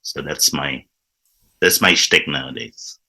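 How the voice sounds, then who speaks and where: Very deep-voiced man explaining. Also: measured pace, creaky, sharp tone, slightly rough. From Indonesia. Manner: enthusiastic, restarts words often, explanatory. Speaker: improv teacher, guest. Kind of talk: casual interview chat.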